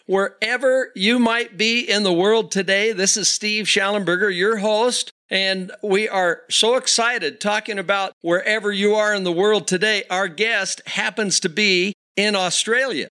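A man's voice: high voice, steady tone, moderate pace, bright, forceful tone, clean tone. A man hosting a podcast interview, enthusiastic as he introduces his guest. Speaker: podcast host.

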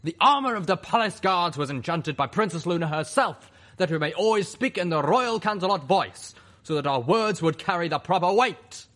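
A man voicing an undead armored warrior pony in an audiobook, thunderous, shouting and grandly formal. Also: high-pitched, lively intonation, normal speed, hardly any pauses, pitched above the performer's own voice. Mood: loud, proclaiming. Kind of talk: audiobook character voice.

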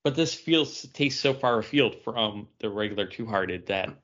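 A man's voice: on the low side, expressive, moderate pace, a bit bright, dull audio. A man co-hosting a podcast in loose, casual chat. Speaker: podcast co-host.

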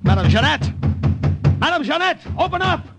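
A man's voice: extremely high pitch, big pitch swings, moderate pace, dull audio, loud music behind the voice, exaggerated voice. An actor playing a desperate young male army sketch artist turned spy in 1940s radio drama, talking in an urgent, flustered way. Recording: noisy old radio broadcast.

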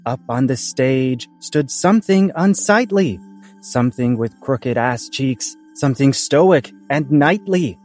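A man's voice: medium pitch, lively intonation, takes it slowly, a little mellow. Male performer as a self-important, bluntly polite local playwright in an audio comedy; mid-range voice with faint music underneath. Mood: ominous, storytelling. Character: pompous small-town playwright and dramaturg, politely blunt. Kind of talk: comedic audio drama dialogue and verse narration.

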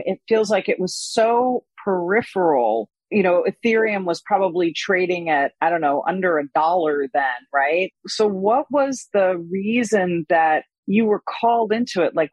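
A woman's voice: mid-range voice, breathy edge, no echo, low-quality recording. A woman hosting a podcast, chatty and enthusiastic as she asks her guest questions. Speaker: podcast host. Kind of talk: casual interview.

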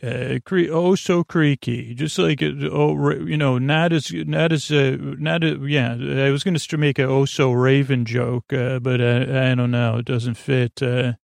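Male sleep-podcast host, creaky fry, rambling and halting delivery, mid-pitched, dry booth sound. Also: steady tone, dry recording. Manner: meandering, halting, full of restarts and tangents. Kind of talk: rambling late-night monologue.

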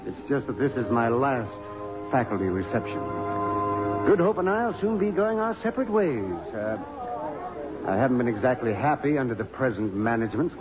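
Wry, resigned male academic, played by an actor, hesitant and dry, very animated pitch, old radio drama sound. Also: lightly acted. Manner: hesitant pauses, dry mannered delivery. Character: wry, resigned physics professor nearing his departure. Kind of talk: 1950s radio drama acting. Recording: dull old radio broadcast, music.

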